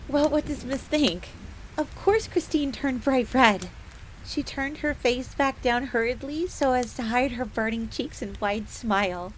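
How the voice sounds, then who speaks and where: A woman's voice: high voice, a little slow. A woman playing a devoted, eager fox maid who tells her story expressively in the third person, as in an audio drama. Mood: bashful delight. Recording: faint crackling fire noise behind voice.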